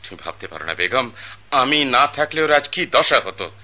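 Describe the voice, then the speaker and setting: A man's voice: medium pitch, sing-song, moderate pace, pushed and bright. An actor playing a stern, self-righteous wealthy father, older in age, in a radio drama. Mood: scolding. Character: wealthy stern patriarch, pompous older man.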